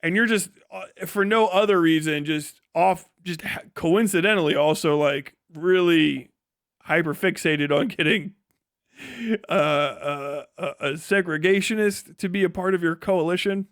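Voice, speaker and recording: A man's voice: fairly high pitch, a little slow, fairly bright tone, no echo, studio quality. A man giving casual, ranting live-stream political commentary in a profane, scornful, emphatic way, often repeating phrases for emphasis.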